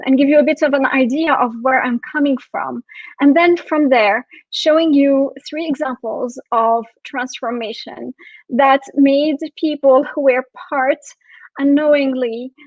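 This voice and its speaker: A woman from France and Syria giving a reflective talk for an online expo, soft-spoken with frequent fillers. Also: high-pitched, measured pace, no room sound, highs cut off. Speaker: expo speaker, spiritual coach.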